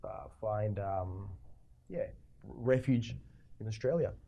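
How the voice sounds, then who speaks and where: A man's voice: lowish voice, takes it slowly, creaky, dark tone, slightly rough, lo-fi sound. A man in his forties from Croatia, a guest telling his story in a casual interview, with many fillers and repeated starts. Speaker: festival founder, guest.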